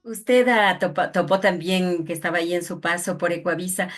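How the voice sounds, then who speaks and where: A woman's voice: lowish voice, moderate pace, faint music behind. A woman hosting an interview in a polite, deferential, conversational way. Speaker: interviewer, host.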